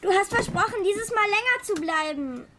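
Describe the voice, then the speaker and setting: A woman's voice: very high voice, expressive, moderate pace, noisy background. A woman playing a hurt, cheeky nine-year-old girl in a children's radio drama, with a direct, reproachful, argumentative manner. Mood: hurt, stubborn.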